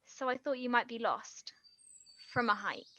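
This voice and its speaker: A woman acting a nervous, eager aspiring writer in a naturalistic stage play, talking in a flustered, halting, rambling way. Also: a little high, bright, forceful tone. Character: nervous, eager female aspiring writer hiding her past.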